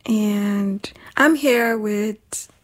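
A woman with a slightly husky voice, hosting a casual chat in an informal, emphatic, slangy way. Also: medium pitch, extremely slow. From Nigeria. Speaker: talk show host.

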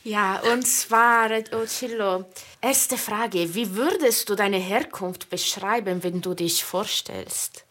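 Slow, hesitant woman interviewer. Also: medium pitch, fairly bright tone. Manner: hesitant, many fillers. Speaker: radio interviewer. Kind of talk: radio interview.

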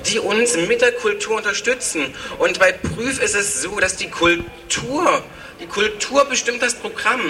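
A man's voice: high voice, measured pace, bright, forceful tone. A man giving a rousing, emphatic rally speech, repeating phrases for effect. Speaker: speaker at a political rally. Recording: distant from the microphone.

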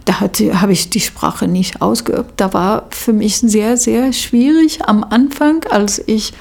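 A middle-aged woman, a native Arabic speaker, recounting her experience in accented, halting speech as she searches for words. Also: mid-range voice, creaky, darkish tone. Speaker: social worker telling her experience. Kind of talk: spontaneous interview account.

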